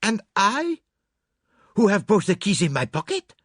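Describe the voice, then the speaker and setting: A man voicing an excitable, theatrical foreign detective, emphatic and dramatic, in an audiobook. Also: medium pitch, moderate pace, slightly rough, dry recording, clean studio sound, voice pushed up. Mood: astonished. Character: excitable, theatrical foreign male detective, fastidious and self-reproaching.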